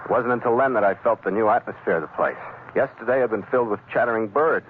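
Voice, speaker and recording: A man's voice: lowish voice, quick pace, close to an everyday voice. An actor playing a tough, terse American seafarer who narrates his adventure in clipped, dramatic 1940s radio style. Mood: grim, brooding. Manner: clipped, hard-boiled, dramatic. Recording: noisy, dull 1940s radio recording.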